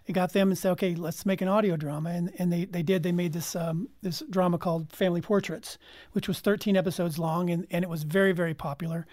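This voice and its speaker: A woman's voice: fairly low pitch, steady tone, fast talker, a little mellow, no echo, studio quality. A woman talking as a guest in a casual panel discussion, explaining things conversationally. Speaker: guest from an audio drama team.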